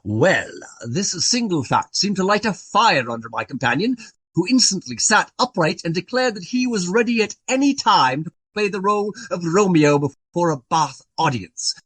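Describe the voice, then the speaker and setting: An actor playing a portly, garrulous, self-important Regency gentleman who tells his story in long-winded, genteel phrases for a radio play. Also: sits high, lively intonation, normal speed, breathy edge, no echo, exaggerated voice. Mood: animated storytelling.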